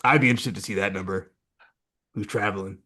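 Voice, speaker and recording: A man's voice: sits low, steady tone, quick pace, bright, forceful tone, breathy edge. Rambling, hesitant male podcast co-host. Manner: rambling, hesitant, lots of fillers. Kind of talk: casual chat.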